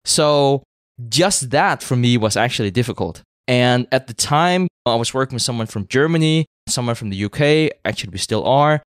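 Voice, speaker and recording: A man's voice: mid-range voice, expressive, normal speed, no room sound, studio quality. A man from Denmark with a Danish accent, speaking English as a second language as a podcast guest, in a conversational way with many fillers and repeated words. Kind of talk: interview conversation.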